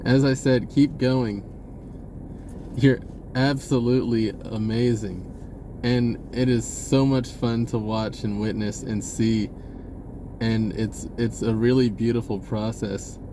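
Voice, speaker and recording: A man giving an unscripted personal message in a casual, halting, rambling way with short broken phrases. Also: medium pitch, steady tone, noisy background.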